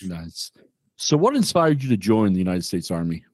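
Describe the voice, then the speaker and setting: Male podcast host; low voice, brisk and formal questions, dry sound. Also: very animated, dry recording. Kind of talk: interview hosting.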